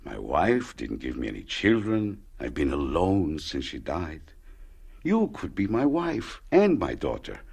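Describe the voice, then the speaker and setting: An actor playing a sad, lonely old widower in a radio play, tender and halting, with a gravelly, husky voice. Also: male voice, extremely low pitch, huge pitch swings, a little mellow, full character voice. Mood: lonely, pleading.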